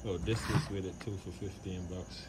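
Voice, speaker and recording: A man's voice: sits low, fairly even intonation, normal speed. A man narrating a casual vlog as host, easygoing and slangy in his phrasing, recorded on a phone outdoors. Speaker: vlog host, flea market reseller. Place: outdoors at flea market and porch. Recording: noisy outdoor phone recording with insects, vehicles.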